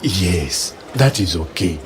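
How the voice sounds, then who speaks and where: Radio drama doctor , male actor: Ugandan accent, grave and hesitant, lively intonation, clear background noise. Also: low-pitched, takes it slowly. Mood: firm, urgent. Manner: hesitant, explanatory. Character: grave, careful male doctor breaking bad news.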